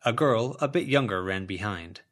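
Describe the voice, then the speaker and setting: Male audiobook narrator, expressive delivery. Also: lowish voice, normal speed. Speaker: audiobook reader. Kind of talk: scripted fiction narration.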